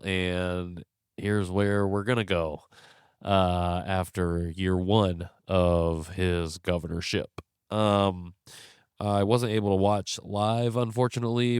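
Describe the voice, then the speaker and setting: A young man talks into a close podcast mic, congested and a little worn out. His voice sits low and smooth, and the room sounds dry. He rambles frankly from one thought to the next. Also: no room sound. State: stuffed up, congested. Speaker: podcast host. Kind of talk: casual solo commentary.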